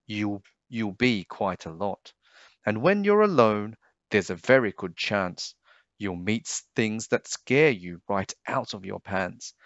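A man reading a children's storybook aloud expressively, with a deliberate, sing-song delivery of rhyming verse. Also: lowish voice, dry recording, highs cut off. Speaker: storybook reader.

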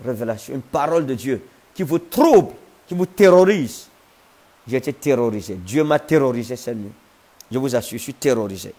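A man's voice: mid-range voice, lively intonation, dark tone. Fervent man praying over earphone mic. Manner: fervent, slow incantatory praying in tongues; emphatic, repetitive preaching. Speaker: prophet. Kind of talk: livestream prayer and sermon. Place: living room with a sofa, indoors. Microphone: wired earphone mic.

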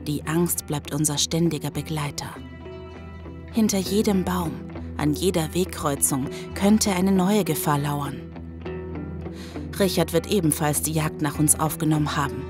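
Woman acting a low-voiced, grave survivor narrator. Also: a little slow. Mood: grave, anxious. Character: weary, fearful female survivor narrating her journey. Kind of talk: scripted first-person audio drama narration. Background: music.

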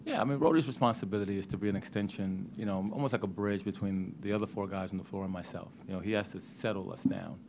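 Low-voiced coach at a press table. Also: male voice, noisy background. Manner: measured, repeats words when starting sentences. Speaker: head basketball coach. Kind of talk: postgame press conference answers. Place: press room. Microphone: table microphone.

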